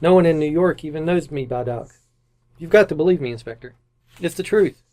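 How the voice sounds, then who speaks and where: Man playing an earnest, higher-voiced anxious husband. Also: a little fast, a little mellow, natural voice. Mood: defensive, pleading. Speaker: amateur radio actor. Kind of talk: old-time radio mystery acting.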